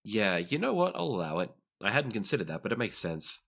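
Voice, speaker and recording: A man with a low voice acts as game master. He narrates a tabletop game and guides the players through it. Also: very animated, a little fast, gravelly, fairly bright tone.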